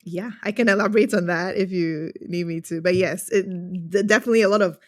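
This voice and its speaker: A woman's voice: mid-range voice, fast, dry recording, clean studio sound. A woman from Ghana giving conversational, reflective answers as a podcast guest. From Ghana, grew up in Accra. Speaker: podcast guest, user experience researcher.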